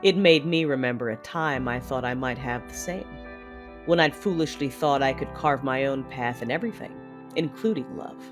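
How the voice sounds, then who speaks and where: A woman's voice: very deep voice, moderate pace, over music. A woman playing a fierce, conflicted Sith woman who narrates a sci-fi audio drama with controlled, brooding drama. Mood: brooding reflection.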